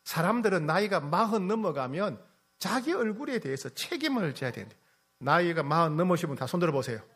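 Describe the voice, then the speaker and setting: A man's voice: sits high, very animated, brisk. A male pastor preaching a sermon, asking the congregation questions, teasing them and repeating key phrases. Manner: repeats key phrases, swings from loud to soft.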